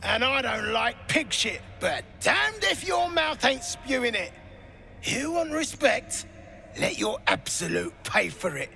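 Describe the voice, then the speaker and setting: A man's voice: very high voice, sing-song, takes it slowly, creaky, bright, forceful tone, soft background music, full character voice. A man voicing a crude, bullying slaver in a fantasy game, with a coarse, smug, jeering delivery. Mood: contemptuous.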